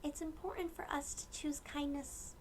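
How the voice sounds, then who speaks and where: A woman reading a picture book aloud to young children and explaining it gently in simple words. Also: sits high, fairly even intonation, normal speed, in a whisper. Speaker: children's read-aloud host.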